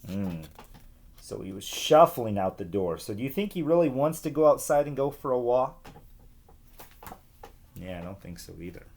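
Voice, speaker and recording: A man reading a children's book aloud like a teacher, pausing to explain and ask young listeners questions. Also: mid-range voice, big pitch swings, creaky, a little mellow.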